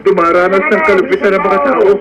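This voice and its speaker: A practical, urgent farmhand played by a man in a radio drama, high in pitch and excitable as he urges others on. Crowd sounds are heard behind him. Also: fast, muffled recording, natural voice. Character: practical male farmhand companion, cautious, urgent.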